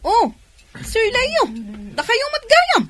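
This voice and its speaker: A woman's voice: extremely high pitch, very animated, slow, very noisy, heavily acted. A woman acting a chatty young restaurant customer in an Ilocano radio drama.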